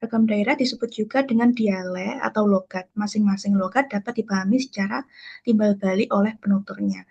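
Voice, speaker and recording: A young woman with a lilt walks classmates through her part of a lesson, in a relaxed explanatory way with little verbal tags. Her voice is husky and breathy, and the audio comes through muffled and lo-fi. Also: mid-range voice, brisk. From Kediri, East Java. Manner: casual explanatory, fillers like gitu. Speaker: student presenter. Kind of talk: online class presentation.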